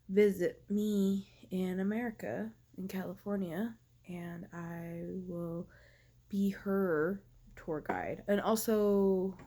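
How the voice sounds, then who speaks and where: Casting note: woman with a cold, husky and creaky, low and unhurried, casual diary chat. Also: dark tone. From Taiwan. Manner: halting, many ums and restarts, drifting into whispers. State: has a cold. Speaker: vlogger. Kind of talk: casual personal monologue.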